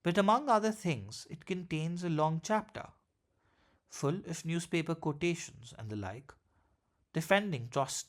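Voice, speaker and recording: A man with a slightly high voice narrates a literary essay. He reads in a measured, formal manner, and now and then trips over a word. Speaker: essay reader.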